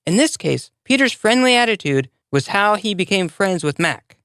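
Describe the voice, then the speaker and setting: Lively, close-up children's storyteller. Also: medium pitch, normal speed, clean tone, no echo. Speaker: storyteller, narrator. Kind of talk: scripted children's moral story narration.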